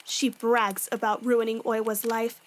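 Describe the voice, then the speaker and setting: A woman's voice: on the high side, level tone, a little slow, lightly acted. A woman playing a composed, dry and sensible young demon slayer in a fan audio drama. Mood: ominous storytelling. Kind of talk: fan audio drama acting, campfire storytelling.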